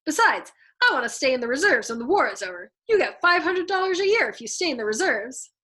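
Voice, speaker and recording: An anxious airman from a storybook, acted out by a woman reader, chatty and needy. The voice rides high and rambles eagerly, pleading like someone who badly wants a favor. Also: big pitch swings, fast talker, sharp tone, heavily acted. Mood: reluctant, fretful. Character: anxious, pleading airman, a reluctant family man.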